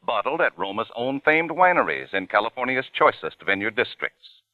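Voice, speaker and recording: An American man announces a vintage radio ad. He is polished, measured and emphatic, with very animated pitch movement. The old recording is narrow and dry. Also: no room sound. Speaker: commercial announcer. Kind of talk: 1940s radio ad read.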